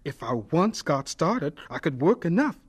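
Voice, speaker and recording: An earnest, humble young gardener in a radio drama. His fairly high voice moves freely up and down, polite and deferential, with some hesitation. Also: big pitch swings, moderate pace, breathy edge. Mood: resigned, serious. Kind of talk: dramatized radio biography acting.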